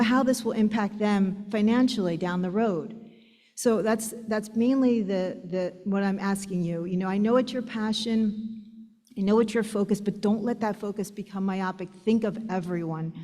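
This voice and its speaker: A woman's voice: medium pitch, normal speed, warm tone. A woman earnestly pleading with a board during public comment, with hesitant restarts. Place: large meeting hall. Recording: long hall reverb.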